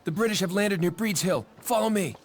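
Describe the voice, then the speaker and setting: Man playing a higher-voiced dutiful soldier. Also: a little fast, a little hiss or hum, natural voice. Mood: urgent. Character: dutiful soldier reporting urgently to officers. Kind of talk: video game cutscene acting.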